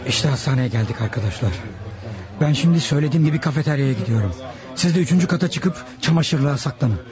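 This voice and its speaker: An actor in a radio drama playing a brisk, decisive male ringleader giving instructions. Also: mid-range voice, animated, close to an everyday voice. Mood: commanding. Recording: radio drama with clear crowd noise.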